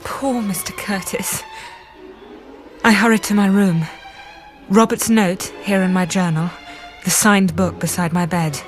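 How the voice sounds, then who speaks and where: A woman's voice: mid-range voice, steady tone, measured pace, music in the background, lightly acted. An actress playing an earnest, troubled woman psychiatrist, tender but guarded in a radio drama. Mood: hushed, brooding.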